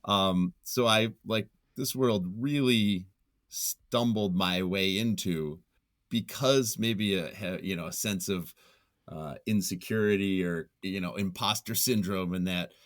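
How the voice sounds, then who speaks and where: A man with a deep voice speaks as a guest in a reflective interview. He is thoughtful and self-effacing, often feeling his way through a sentence with some hesitation. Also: takes it slowly, fairly bright tone. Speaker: interview guest, nonfiction writer.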